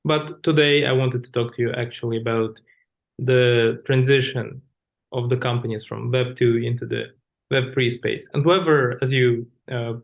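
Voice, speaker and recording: A man's voice: medium pitch, creaky. A man hosting a podcast interview, asking long, rambling questions with restarts and frequent "like". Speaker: podcast host.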